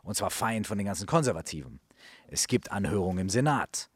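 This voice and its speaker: A man's voice: lowish voice, sing-song, brisk, breathy edge. A man co-hosting a casual chat about music history, talking in slangy, colloquial language. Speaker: co-host.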